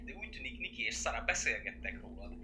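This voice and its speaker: A man voicing a worried young friend in a fan dub, blunt and sweary, talking in urgent, hushed bursts. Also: mid-range voice, animated, noisy background, natural voice. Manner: urgent, hushed, swings into loud agitation.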